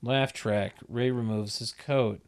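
A man's voice: medium pitch, fairly even intonation, slow. A man reading stage directions as scripted narration for a comedy table read, literal and tongue-in-cheek.

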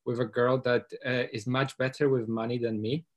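A man's voice: mid-range voice, little pitch movement, normal speed. Man, hesitant questioner. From Poland. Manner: hesitant, many uhs and restarts. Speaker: audience member asking a question.

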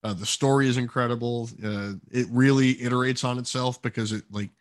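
A man's voice: medium pitch, steady tone, a little fast. Casual, opinionated man co-hosting a podcast. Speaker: podcast co-host. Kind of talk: casual gaming podcast chat.

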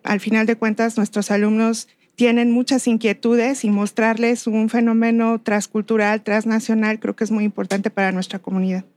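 A woman's voice: fairly high pitch, level tone, normal speed, few pauses, a little mellow. A woman with a central Mexican accent, a teacher explaining her work conversationally in an interview. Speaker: history teacher, interview guest.

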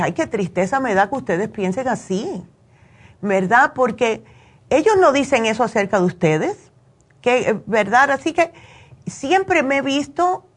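A woman's voice: a little high, breathy edge. A woman from Cuba, raised in the United States, hosting a call-in show and chatting conversationally, often checking for agreement. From born in Cuba, raised in the United States. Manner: chatty, frequently seeks agreement with tag questions. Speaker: radio call-in show host. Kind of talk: conversational advice talk with personal anecdotes.

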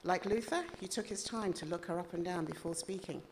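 A woman from Ghana talks to a live audience in a friendly, self-deprecating way, then reads from her novel. Her voice sits low for a woman. Faint hiss and the odd click sit in the background. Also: fairly quick. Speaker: author reading her novel. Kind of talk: chatty introduction then reading aloud at a live literary event.

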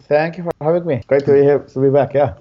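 A young man from Iraq, an interview guest telling his life story in a halting way with many restarts. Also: a little high, fairly even intonation, lo-fi sound.

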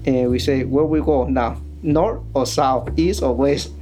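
A man from Oaxaca in Mexico, a Triqui speaker, answering interview questions as a community worker in plain, halting English. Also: medium pitch, dark tone, soft background music. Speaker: community worker for a legal aid group.

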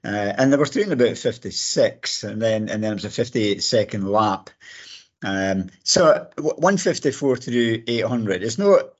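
A middle-aged or older man with a slightly hoarse voice, co-hosting a podcast with casual sports analysis. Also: on the low side, animated, moderate pace, dull audio. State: a bit hoarse. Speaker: podcast co-host. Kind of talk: casual sports commentary chat.

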